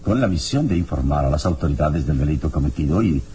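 An actor playing a calm, resolute hero in a radio drama, speaking in a measured, solemn, authoritative way. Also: male voice, rumbling low, faint music behind. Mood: firm, authoritative. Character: calm, resolute male hero, a righteous defender of justice.

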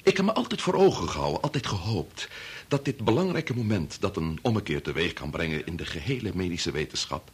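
Grave, low-voiced chief scientist, torn by duty. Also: male voice, sing-song, husky, full character voice. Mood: solemn. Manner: deliberate, hesitant, formal. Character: grave, conscience-torn male chief bacteriologist, father and husband. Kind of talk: Dutch radio play acting.

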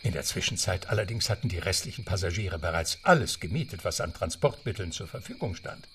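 Expressive low-voiced male storyteller. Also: fairly quick, far from the mic, background noise. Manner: storytelling, literary. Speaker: narrator. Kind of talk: scripted radio play narration.

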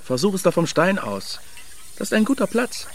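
An actor playing an eager young male angler in a radio play, coaxing and halting as he shyly courts. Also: high voice, very animated, a little hiss or hum. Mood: hushed, eager. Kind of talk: German radio play acting. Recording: faint water and outdoor ambience sounds.

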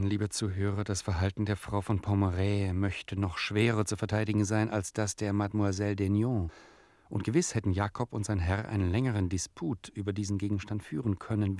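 Teasing radio-play narrator who argues with the listener. Lowish, husky male voice, faint music. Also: darkish tone, dry recording. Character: teasing, argumentative storyteller addressing his listener.

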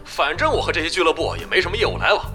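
Gaming hustler for radio play: cocky, gleeful man, very sharp tone, hugely animated, light music behind. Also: a little high, rapid-fire, creaky, heavily acted. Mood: smug, gleeful. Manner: boastful, gleeful. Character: cheeky, brash male gaming-studio hustler. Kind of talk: Chinese audio drama acting.

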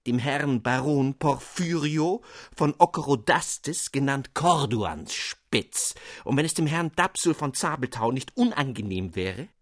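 A male narrator reads a literary tale with expressive, carefully shaped phrasing. His pitch is medium for a man. The studio sound is dry and close. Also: no echo. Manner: precise, storytelling phrasing.

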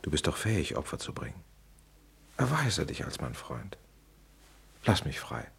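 A lovesick writer begging, super deep husky voice. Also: male voice, very animated, heavily acted. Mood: pleading. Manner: slow, pleading. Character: male writer, lovestruck, pleading. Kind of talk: stage drama.

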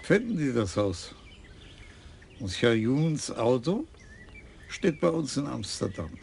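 An actor playing a wry, stubborn police commissioner in a radio crime play. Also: male voice, medium pitch, sing-song, slow pace, creaky, a little mellow, a little hiss or hum, full character voice. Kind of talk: radio drama acting.

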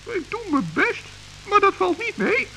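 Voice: very high voice, big pitch swings, gravelly, sharp tone, a little hiss or hum, full character voice, poor audio. A performer voicing a small, kind, earnest forest gnome in an old children's radio play. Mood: reading, worried. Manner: earnest, hurried, stammering when flustered.

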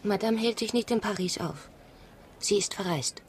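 A man's voice: high voice, normal speed, a little hiss or hum. A man playing a formal, reserved household servant in a German radio crime drama, speaking politely and reticently. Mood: polite, guarded.

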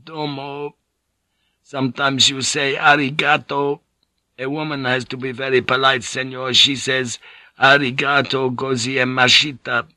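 A man's voice: medium pitch, little pitch movement, a little slow, sharp tone, no echo, pitched above the performer's own voice, low-quality recording. A man doing a ranting old friar. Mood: explaining. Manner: agitated, breathless, ranting. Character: ranting feverish old Spanish friar, bitter.

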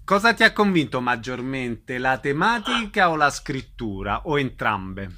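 A man's voice: medium pitch, big pitch swings, unhurried, bright, forceful tone. A man working as a radio interviewer, giving scripted introductions and brief questions.

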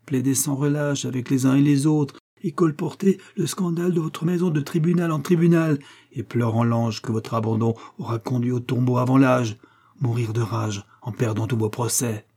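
A man's voice: mid-range voice, moderate pace, warm tone, natural voice. A man voicing an imperious, theatrical society lady who declaims scornfully in a comic audiobook. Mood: cursing, theatrical. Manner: declamatory, mock-tragic. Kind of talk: comic literary audiobook dialogue.

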